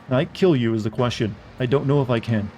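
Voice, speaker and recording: A male streamer talks through a game in a chatty, wry way, tossing out jokes as he plays. His voice has a slight rasp, sits at a middling pitch, and soft game music hums underneath. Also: fast. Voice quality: a bit raspy. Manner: chatty and wry, joking asides. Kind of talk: live gameplay commentary. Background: faint game music.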